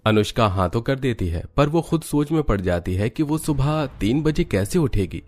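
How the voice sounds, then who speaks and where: A man's voice: medium pitch, lively intonation, normal speed, darkish tone. A man narrating a scripted cartoon family story as its storyteller.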